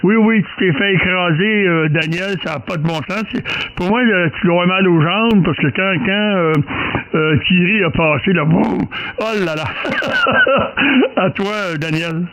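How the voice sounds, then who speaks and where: A man's voice: high voice, normal speed, muffled recording, background noise. A man chatting on amateur radio, hesitant and joking, with many restarts. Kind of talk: casual radio chat.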